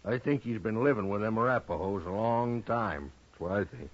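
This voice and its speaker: An American actor playing an uneasy rural Western rancher in a 1950s radio drama, husky, drawling and halting. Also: male voice, fairly low pitch, lively intonation. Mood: worried. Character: folksy, uneasy frontier rancher and father, hesitant. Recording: dull lo-fi old radio recording.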